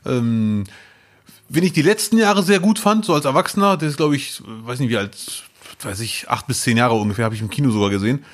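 A man's voice: mid-range voice, animated, fairly quick. A man co-hosting a casual podcast chat, cracking dry ironic jokes. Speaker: podcast co-host.

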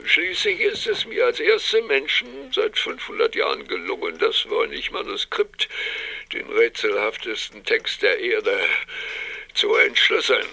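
An actor playing an irascible, self-important professor in a German audio drama, lecturing and snappish. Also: male voice, medium pitch, huge pitch swings, a little slow, gravelly, sharp tone, exaggerated voice.

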